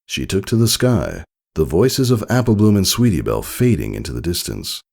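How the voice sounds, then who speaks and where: A man narrates a written story in a deep voice. His delivery is very animated and swings widely in pitch, with a creaky grain underneath. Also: moderate pace. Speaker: narrator reading fan fiction aloud. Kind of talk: expressive scripted story narration.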